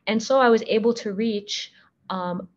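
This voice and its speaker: A woman in her thirties whose first language is Arabic, earnestly giving a talk on addiction over a video call, often pausing on "um". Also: medium pitch. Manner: earnest, with frequent um fillers. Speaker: guest speaker on addiction. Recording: slightly muffled video call audio.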